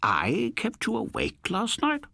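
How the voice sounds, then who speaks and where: A sly, menacing gentleman lodger, as a male narrator plays him, speaks with deliberate, smooth courtesy. He is an audiobook villain, expressive and falsely polite. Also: sits high, dry recording, clean studio sound, pitched above the performer's own voice, exaggerated voice. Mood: feigned innocence. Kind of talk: audiobook character voice.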